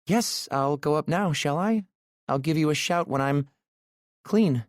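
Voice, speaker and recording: A man's voice: fairly high pitch, unhurried, no echo, clean studio sound, pitched above the performer's own voice, close to an everyday voice. A man voicing a shy, playful young woman who teases lightly but sounds a little nervous.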